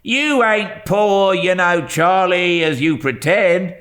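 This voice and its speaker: Man acting a jeering, shrill street boy. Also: slow, fairly bright tone. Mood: taunting. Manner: colloquial, goading calls.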